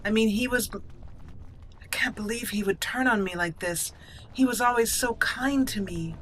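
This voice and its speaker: A woman's voice: on the high side, moderate pace, a bit bright, a little hiss or hum, close to an everyday voice, rough recording. A woman voicing a proud, wounded dwarven noblewoman in exile, speaking formally and earnestly in a game roleplay. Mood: hurt, dismayed.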